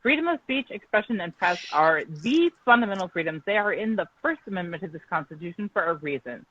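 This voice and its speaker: A woman's voice: on the low side, animated, brisk, fairly bright tone, a little hiss or hum. Outspoken woman on a lo-fi call. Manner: outspoken, emphatic. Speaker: guest journalist. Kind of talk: online show interview.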